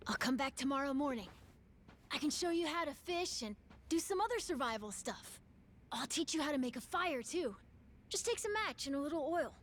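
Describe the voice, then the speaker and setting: A woman's voice: high-pitched, animated, moderate pace, a bit bright, slight background noise. A woman voicing an earnest, eager young boy with a stubborn streak in a video game. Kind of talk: English video game dub acting.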